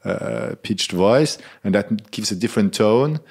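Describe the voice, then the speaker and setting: A man's voice: expressive, a little slow, a little mellow, breathy edge. A man in his thirties from Switzerland, a band member talking casually in an interview, earnest and often restarting his sentences. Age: 30s. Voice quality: low-pitched voice. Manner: earnest, searching for words, frequent restarts.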